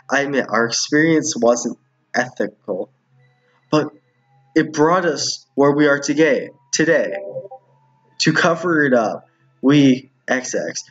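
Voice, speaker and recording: A man's voice: mid-range voice, dull audio. A man voicing a cold, bullying male school principal for a visual novel, commanding and threatening.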